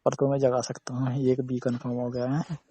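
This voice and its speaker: A man with a husky, gravelly voice chatting casually and intimately on a phone call, coaxing and reassuring. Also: mid-range voice, monotone, fairly quick, dark tone, dry recording. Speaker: romantic partner on a phone call.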